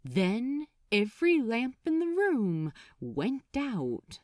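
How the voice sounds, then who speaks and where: A woman narrates a story with animated, expressive intonation. She takes her time over each phrase. The sound is dry and close, as in an audiobook. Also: on the high side, slow, no room sound. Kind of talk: expressive scripted fiction narration.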